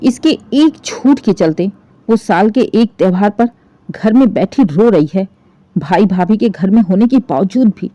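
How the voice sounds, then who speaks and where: A woman's voice: mid-range voice, mellow, no room sound, muffled recording, natural voice. A woman storyteller voicing an indignant older mother-in-law, stern and reproachful, in a lecturing manner. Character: indignant, aggrieved older mother-in-law scolding over her daughter.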